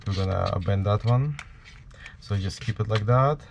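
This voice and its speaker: A man with a heavy Ukrainian accent, giving a hands-on tutorial at a workbench in a halting way, full of fillers. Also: on the low side, gravelly, muffled recording, slight background noise. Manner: halting, many fillers, talks while working. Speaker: prop maker.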